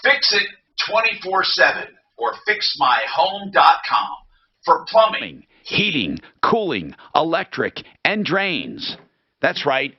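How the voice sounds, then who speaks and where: A man hosting a talk radio show, helping callers and reading ads in a brisk, salesman-like way. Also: a little high, sing-song, husky, dry recording, muffled recording. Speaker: radio host. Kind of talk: talk radio with live reads.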